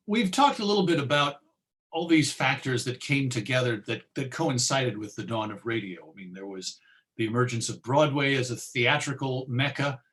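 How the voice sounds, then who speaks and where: A man speaks as a presenter in a medium-pitched voice. He gives an informative talk on music history to explain how things came about. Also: fairly bright tone.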